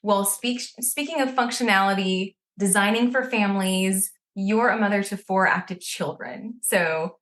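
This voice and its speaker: A woman's voice: medium pitch, normal speed, few pauses, a bit bright. A woman hosting a podcast, reading a scripted guest introduction and then chatting casually in an upbeat manner. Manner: upbeat, reads introduction smoothly. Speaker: podcast host.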